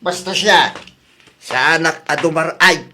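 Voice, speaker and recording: An actor voicing an old husband in a radio drama who talks slowly and calmly. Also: mid-range voice, a little hiss or hum. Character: old husband who runs a rice store. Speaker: store owner's husband. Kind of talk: Ilocano radio drama acting.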